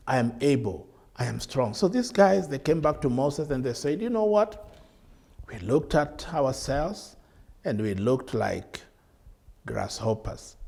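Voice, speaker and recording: A middle-aged Kenyan man, a bishop, teaching a televised sermon from a studio desk with earnest rhetorical questions and drawn-out emphasis. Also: medium pitch, a little mellow, husky.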